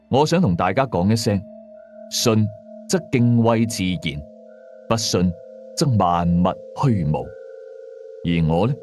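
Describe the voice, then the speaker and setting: A man narrating a scripted first-person horror story in a measured, dramatic way, drawing out the ends of some phrases. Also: lowish voice, darkish tone. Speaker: storyteller of a radio ghost-story series.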